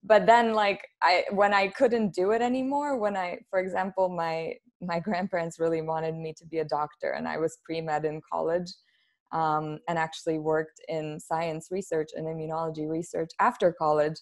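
A woman's voice: sits low, fairly even intonation, normal speed. A woman in her thirties from Poland, talking informally and ramblingly as an interview guest on a podcast. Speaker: podcast guest, human design expert. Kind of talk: casual interview chat. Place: plain room at home, on a video call.

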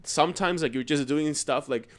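A man's voice: a little high, moderate pace. Male co-host from Colombia, loose chat, packs in fillers and casual curses. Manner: filler-heavy, swears casually. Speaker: podcast co-host.

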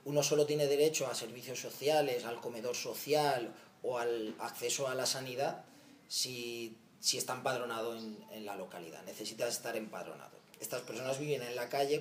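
A man's voice: mid-range voice, a little hiss or hum. Thoughtful Spanish man, echoey patio. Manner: thoughtful, halting with restarts. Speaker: volunteer founder. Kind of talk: documentary interview. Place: outdoor patio of a house.

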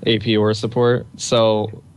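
A man's voice: on the low side, monotone, takes it slowly, slight background noise. A young man on a voice call, chatting laid-back about games and complaining about his options. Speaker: friend on voice call. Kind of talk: casual gaming chat.

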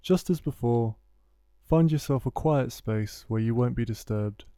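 A man leading a guided meditation, giving soothing, unhurried instructions with gentle pauses. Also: medium pitch, dry recording, studio quality. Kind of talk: guided body scan meditation.

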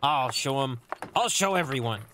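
A man's voice: mid-range voice, lively intonation, normal speed, fairly bright tone, a little hiss or hum, lightly acted. A man voicing a grumpy, greedy, scheming cartoon creature in a comic dub, complaining indignantly. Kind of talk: comic book dub acting.

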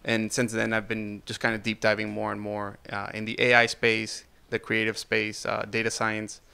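A man from Venezuela speaks in a low, flat, gravelly voice with a bright, clear edge. He lays out his background steadily in a living-room interview, dotting his speech with "uh". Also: moderate pace. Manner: steady, with frequent "uh" fillers. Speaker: guest artist. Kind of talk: casual interview.

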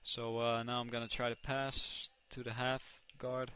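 A young man from the Netherlands with a Dutch accent, casually commentating his own video game match, excitable and often correcting himself. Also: medium pitch, unhurried, clean tone. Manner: excitable, self-correcting, reacts loudly to plays. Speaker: gaming commentator. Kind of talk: casual let's play commentary.

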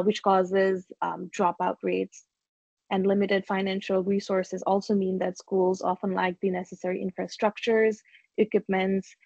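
Young woman from nepal reading her talk off notes, pretty flat delivery, dull dry laptop mic sound. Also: mid-range voice, gravelly, no room sound. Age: young adult. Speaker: youth speaker. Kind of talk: prepared talk on a video call. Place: room at home, indoors. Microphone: laptop webcam mic on video call. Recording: dull, dry video call audio.

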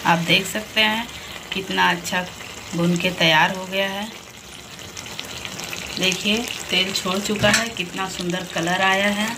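A woman's voice: medium pitch, fairly even intonation, measured pace, pauses often. A woman hosting a home cooking channel, explaining each step of a recipe in a patient, instructive voice-over. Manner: patient, repeats "dekhiye" to point things out. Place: home kitchen over a frying pan. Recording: loud frying noise under the voice. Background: loud sizzling and stirring of a pan.

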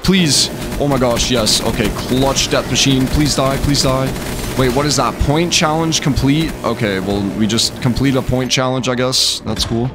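A young American man with a slightly hoarse voice, giving casual, slangy gameplay commentary as the host of a gaming video. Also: medium pitch, steady tone, normal speed, noisy background. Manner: slangy, rambling, addresses viewers as boys. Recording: game music behind voice. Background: video game music.

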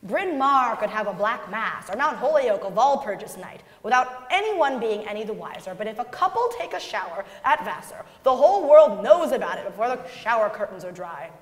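Lively young woman, echoey hall. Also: on the high side, moderate pace, hardly any pauses. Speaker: reader in a dramatic reading. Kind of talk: staged dramatic reading. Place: large concert hall stage. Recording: echoey, noisy concert hall, long reverb.

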